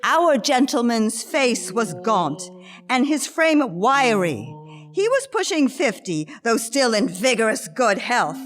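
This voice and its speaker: A woman narrator giving a measured literary storytelling narration. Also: fairly high pitch, fairly bright tone. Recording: reverberant hall, faint music.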